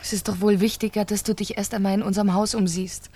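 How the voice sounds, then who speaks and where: An actress playing a cold, calculating wife who plots in a precise, commanding manner in a German radio crime drama. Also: female voice, medium pitch, little pitch movement, fast talker, dark tone, breathy edge, no echo. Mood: cool, businesslike. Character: cold, calculating French wife plotting her husband's murder.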